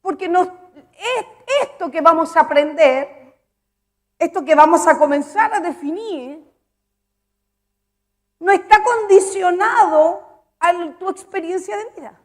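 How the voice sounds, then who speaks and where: High-voiced woman preaching a sermon. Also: takes it slowly, long pauses. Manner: emphatic, repeats phrases, asks the congregation questions.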